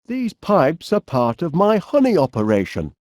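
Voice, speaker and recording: A man's voice: on the high side, wildly swooping pitch, moderate pace, a little mellow, no room sound, clean studio sound. A man playing a cheerful middle-aged eccentric inventor in a cartoon video game, with a Northern English accent and a quaintly polite, dithering manner.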